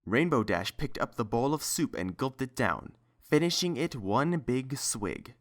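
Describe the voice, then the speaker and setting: A man's voice: mid-range voice, very animated, measured pace, a bit bright. A man reading a scripted story as its narrator.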